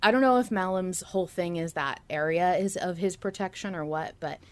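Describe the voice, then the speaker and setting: A woman with a slightly low voice co-hosts a casual fan podcast. She talks in an informal, animated way, working out her ideas as she goes. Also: a little fast. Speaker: podcast co-host. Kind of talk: casual fan discussion chat.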